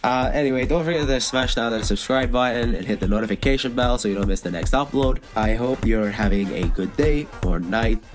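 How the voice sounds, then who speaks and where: A man's voice: medium pitch, a little fast, few pauses, over music. A young man with a cold and a stuffed-up voice, telling a story in a casual vlog with dry sarcastic asides. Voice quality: stuffed-up nasal voice. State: has a cold. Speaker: vlogger.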